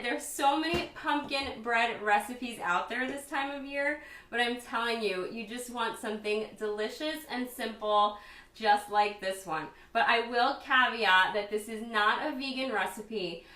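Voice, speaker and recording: A slightly hoarse woman hosting a casual cooking demonstration, chatty and upbeat as she talks straight to viewers. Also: on the high side, pushed and bright. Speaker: cooking show host and chef. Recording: roomy echo, distant microphone.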